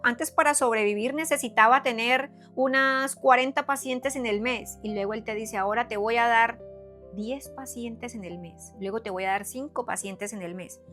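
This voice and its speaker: A woman's voice: a little high, fairly bright tone. A middle-aged woman with a Colombian accent from Cali, giving an earnest, emphatic devotional talk with repeated phrases and rhetorical questions. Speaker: psychotherapist giving faith talk. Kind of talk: personal testimony and devotional talk to followers.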